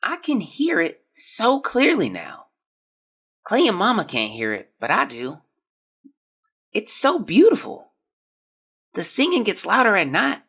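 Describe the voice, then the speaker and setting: Performer as frail yearning teen boy, bright husky voice, wildly varied pitch, audio drama monologue. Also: exaggerated voice. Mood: entranced. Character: sickly, dreamy teenage boy writing his journal.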